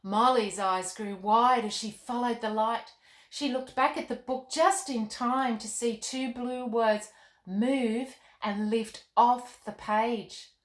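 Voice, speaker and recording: Expressive woman storyteller in an echoey room. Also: fairly high pitch, takes it slowly. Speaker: children's author and storytime host. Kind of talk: expressive storybook narration for children.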